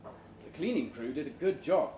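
A man reads a short story live in a deadpan, deliberate comic style. His voice sits fairly high and moves expressively up and down. The room adds noticeable echo around him. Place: indoor room at a live literary event. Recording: roomy live venue recording with background noise.

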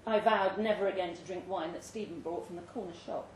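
A woman giving an expressive, theatrical reading of a suspenseful short story at a live indoor event. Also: on the low side, a little fast. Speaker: story reader. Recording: roomy live venue, distant mic, faint noise.

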